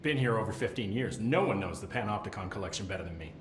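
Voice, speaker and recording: A man acting as an eager, nervous supervisor in a video game. He rambles and hesitates deferentially, his pitch jumping about. The room echoes around him, with faint noise behind. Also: animated, fast, close to an everyday voice. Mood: chatty, awkward. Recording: roomy echo, faint background noise.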